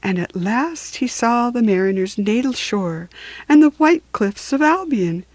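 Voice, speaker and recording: A woman reads a children's story at a slow, deliberate pace. Her pitch swoops expressively for theatrical emphasis, and the recording is close and dry. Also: animated, a little mellow, no echo.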